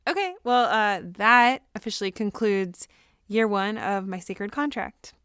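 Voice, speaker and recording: A woman playing an excitable, self-important teenage girl who rambles dramatically through a confessional audio diary. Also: mid-range voice, a little slow, clean tone, no room sound, clean studio sound, natural voice. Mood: earnest, boastful. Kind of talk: confessional audio diary monologue, naturalistic acting.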